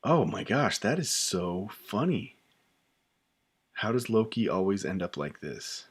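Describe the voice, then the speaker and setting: Casual male streamer, deep voice, loose chatty delivery with fillers. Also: measured pace, breathy edge. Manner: relaxed, chatty, many fillers and restarts. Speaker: YouTube host reacting to a show. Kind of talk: casual live reaction chat.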